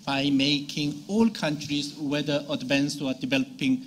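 A man giving a measured, formal statement in a policy debate. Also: a little high, flat delivery. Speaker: panelist. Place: conference meeting room. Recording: conference room with background noise.

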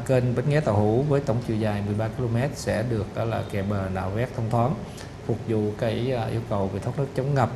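Middle-aged man, measured official explanation. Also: on the low side, gravelly, noisy background. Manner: measured, explanatory, frequent "ờ" fillers. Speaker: interviewee, project official. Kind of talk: formal interview. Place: studio office set with photo wall. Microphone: lapel mic.

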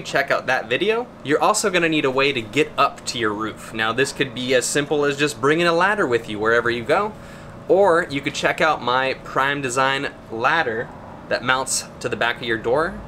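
A man's voice: mid-range voice, bright, forceful tone, slight background noise. A man in his thirties giving an upbeat, friendly how-to talk outdoors beside his van, with laid-back slang. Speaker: vlogger.

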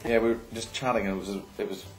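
A man's voice: on the low side, fairly even intonation, fast talker, soft background music, rough recording. A man who helped organise an event chats casually and enthusiastically, reminiscing with tag questions. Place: at the back of a post office.

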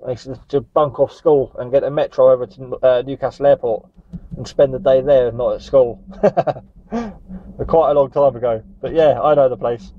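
A man's voice: mid-range voice, steady tone, moderate pace, no room sound. A man from Northumberland in England chatting in a friendly way as a CB radio operator, using radio jargon. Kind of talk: casual radio contact chat. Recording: dull, muffled radio audio.

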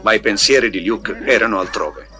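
A man's voice: fairly low pitch, expressive, moderate pace, sharp tone. A man reading scripted storybook narration as the narrator of a recorded tale. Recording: music under the voice. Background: music.

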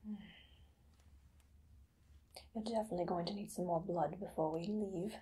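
A woman performing an ASMR roleplay as a possessive, doting vampire mistress, with breathy, theatrical, often whispered speech that coaxes and menaces. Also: on the low side, fairly even intonation, normal speed, mellow, voice pushed down, close to an everyday voice. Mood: coaxing, possessive. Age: young adult. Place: small dim indoor room.

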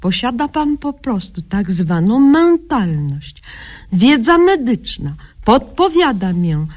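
A woman's voice: fairly high pitch, sing-song, slow pace, a little mellow, heavily acted. An actress playing a self-important, cheerfully incompetent young woman doctor in a satirical radio sketch, mock-solemn and pompous, mangling learned words. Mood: pompous, unbothered.

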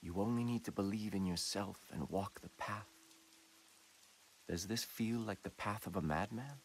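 A man playing a solemn, messianic doomsday cult leader in a video game, preaching in grave, deliberate, rhetorical monologues. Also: lowish voice, slight background noise. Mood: grave, prophetic. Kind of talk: dramatic sermon and broadcast monologue.

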